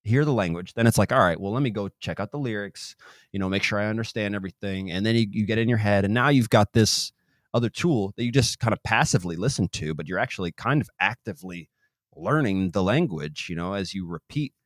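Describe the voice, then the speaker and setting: A man with a very low voice hosts a podcast in casual chat. He rambles, restarts his sentences and lets phrases trail off. The sound is dry and close, with no room. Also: animated, fast, no room sound. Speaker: podcast host.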